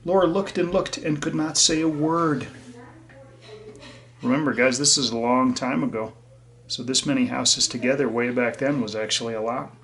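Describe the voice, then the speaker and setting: A man's voice: medium pitch, normal speed, fairly bright tone, rough recording. A man reading a children's story aloud and talking to young listeners in a simple, explanatory way. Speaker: teacher reading a picture book aloud to children.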